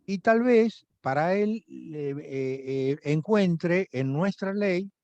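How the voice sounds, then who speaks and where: Hesitant Argentine instructor, dull online audio. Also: male voice, a little high, expressive, slow, darkish tone, no room sound. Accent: Argentine Spanish. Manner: hesitant, many fillers, recommending and inviting students. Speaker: course instructor. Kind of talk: online class lecture. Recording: dry, dull video-call audio.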